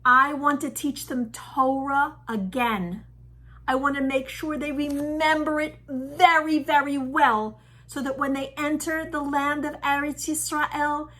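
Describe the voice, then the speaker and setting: A woman plays an old biblical leader in a children's puppet show, high-pitched and deliberate. The aged man speaks earnestly and with emphasis, devoted to teaching. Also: steady tone, fairly bright tone. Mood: earnest pleading.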